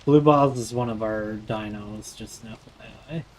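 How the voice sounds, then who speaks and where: A man's voice: fairly low pitch, moderate pace, darkish tone. Joking young man on a noisy stream. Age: late twenties. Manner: joking, teasing, profane. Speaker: game streamer. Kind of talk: casual chat while gaming on a livestream. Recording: clearly noisy stream audio.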